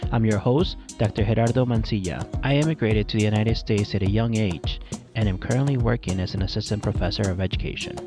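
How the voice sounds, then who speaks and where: A man from Mexico whose first language is Spanish, hosting a podcast and reading a scripted personal story in a measured way. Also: medium pitch. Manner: measured, read-aloud delivery. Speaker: podcast host. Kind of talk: scripted solo narration.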